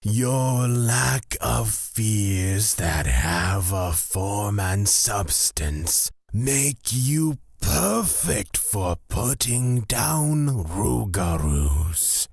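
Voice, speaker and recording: A man playing a calm, amused and quietly menacing god of fear in a fantasy audio drama, with a breathy, husky voice and deliberate phrasing. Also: lowish voice, no echo, studio quality, exaggerated voice. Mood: amused, reassuring. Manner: slow, deliberate, drawn-out phrasing.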